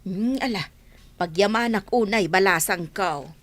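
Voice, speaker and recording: A woman's voice: mid-range voice, a little slow, natural voice. A woman playing a chatty, opinionated middle-aged village mother with an Ilocano accent in a comedy radio drama. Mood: grateful, delighted.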